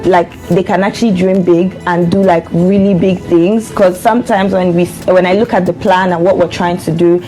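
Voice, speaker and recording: A woman's voice: fairly low pitch, steady tone, normal speed, few pauses, dark tone, faint music behind. Earnest young woman in a TV interview. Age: young adult. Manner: earnest, uses many "like" fillers. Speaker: TV interview guest. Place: TV studio. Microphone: lapel mic.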